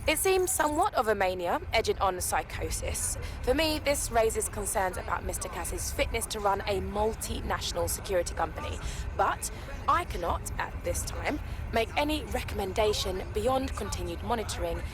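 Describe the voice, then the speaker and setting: An actress playing a concerned, formal female investigator, dictating an official report in a measured way for a game. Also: medium pitch, expressive, over music, natural voice. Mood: grave, uneasy. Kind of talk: game voice acting.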